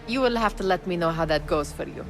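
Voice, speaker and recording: A woman's voice: fairly low pitch, lively intonation, fast talker, natural voice. An actress playing a skeptical, loyal young woman thief in a video game, with a dry, chiding, formal manner. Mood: dry, wry. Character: sharp-tongued, skeptical young female street thief, loyal companion. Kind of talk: dramatic video game voice acting. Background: music.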